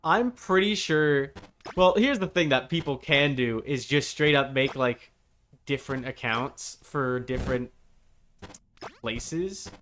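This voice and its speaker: A man's voice: medium pitch, slow pace, fairly bright tone, a little hiss or hum. A man casually chatting on a gaming live stream, rambling along in a wry, joking way. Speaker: game streamer.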